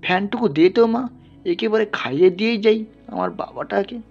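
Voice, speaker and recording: A man voicing a poor, sorrowful peasant father in an audio story, speaking tenderly in halting, pleading murmurs. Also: sits high, expressive, gravelly, darkish tone, breathy edge, lo-fi sound, voice pushed up, exaggerated voice. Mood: gentle coaxing. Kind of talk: dramatized literary audio story. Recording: band-limited, music underneath.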